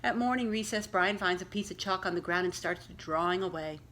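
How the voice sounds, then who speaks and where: A woman reading a children's story aloud like a school librarian, with deliberate, expressive storytelling phrasing aimed at young listeners. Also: fairly low pitch, slight background noise. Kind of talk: expressive picture-book read-aloud with questions to young listeners.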